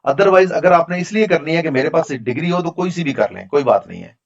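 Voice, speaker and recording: A man's voice: on the high side, animated, brisk, vocal fry, highs cut off. A man teaching an online class, answering students' questions in a frank, explanatory, conversational way over a video call. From Pakistan. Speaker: instructor.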